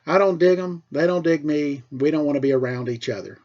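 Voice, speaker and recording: A middle-aged American man, a teacher with a husky voice, tells casual personal stories for children in an animated way, acting things out as he goes. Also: a little high, fairly quick, dull audio. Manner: animated, acts out dialogue and sound effects. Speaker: school teacher telling stories to pupils.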